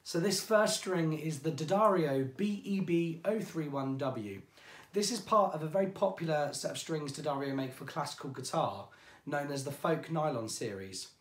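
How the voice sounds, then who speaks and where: An English man presenting ukulele strings in a chatty, informal way, his voice going hoarse. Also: a little high, expressive, normal speed, echoey room. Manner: chatty, informal, self-deprecating. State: losing his voice. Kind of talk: product demonstration.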